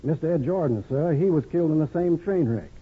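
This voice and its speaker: An actor playing a loyal, hesitant small-town helper, folksy and deferential, in a 1950s radio drama. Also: male voice, fairly high pitch, animated, fairly quick, husky, narrow, tinny audio. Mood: reluctant, grave. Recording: thin, noisy 1950s radio broadcast.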